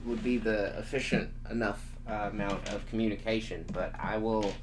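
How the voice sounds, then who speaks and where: A man's voice: lowish voice, steady tone, moderate pace, a little hiss or hum. A young man co-hosting a casual podcast chat, rambling and laughing often. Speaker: podcast co-host.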